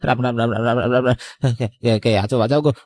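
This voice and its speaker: A man's voice: medium pitch, racing, dry recording, clean studio sound, close to an everyday voice. A man playing a superstitious, tipsy and easily frightened village farmhand in a cartoon. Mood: terrified. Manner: servile, excitable. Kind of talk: Bengali cartoon dubbing.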